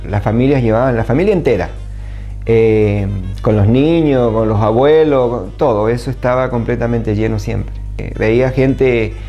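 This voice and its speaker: An older man recalls the past in an interview, pausing hesitantly between phrases. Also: medium pitch, mellow, dull audio. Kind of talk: reminiscing interview talk.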